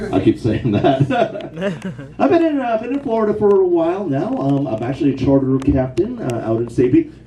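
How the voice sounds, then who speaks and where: A man in his fifties works a small bar crowd with a loose, rambling stand-up set, padding his lines with "man" and "bro." His pitch swings widely. The mic sits some way off in a roomy space, with laughter coming back at him. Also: dark tone, far from the mic. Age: 50s. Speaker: stand-up comedian. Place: small kava bar comedy night. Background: audience laughter and heckles.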